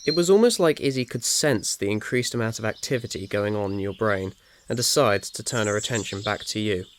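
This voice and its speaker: Low-voiced man narrating a story. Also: a little fast. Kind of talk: scripted second-person ASMR story narration. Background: birdsong, crickets and faint noise.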